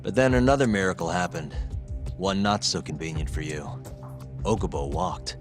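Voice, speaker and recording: An actor playing a steely investigator in a video game, speaking in a measured, accusing way over music. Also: male voice, sits low, natural voice. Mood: cold accusation. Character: steely adult male investigator confronting a suspect. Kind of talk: English video game dub acting.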